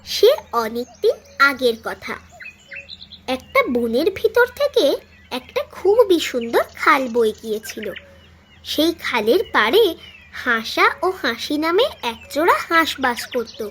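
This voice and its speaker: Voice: high-pitched, big pitch swings, a little slow, a bit bright, a little hiss or hum. A storyteller giving scripted narration for a children's cartoon tale.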